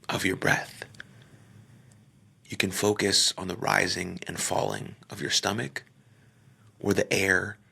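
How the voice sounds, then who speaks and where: Slow, husky, low-voiced man guiding meditation. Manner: gentle, drawn-out short phrases with pauses, sometimes trailing into near-whisper. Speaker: meditation guide; podcaster. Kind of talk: guided meditation, soft slow instruction.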